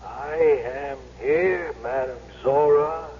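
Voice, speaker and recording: An actor voicing a fake ghost of a dead husband, slow and solemn, in a vintage radio drama. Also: male voice, fairly high pitch, a crowd in the background. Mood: solemn, ghostly. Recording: muffled reverberant old radio recording.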